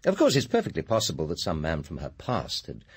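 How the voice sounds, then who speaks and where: An actor playing a formal, guarded senior scientist with an English accent in a radio drama, speaking in a measured, articulate way. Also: male voice, extremely low pitch, huge pitch swings, slightly rough, heavily acted. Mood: guarded, cool. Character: smooth, guarded male senior scientist, director of a power station. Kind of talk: British radio drama acting.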